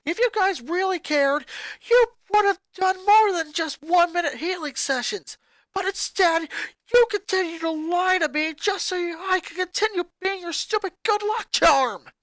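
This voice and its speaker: A woman voicing an angry, resentful young boy who rants and shouts at his family. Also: very high voice, normal speed, no room sound, pitched above the performer's own voice. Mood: furious. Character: furious boy, eleven-year-old, bitter and resentful.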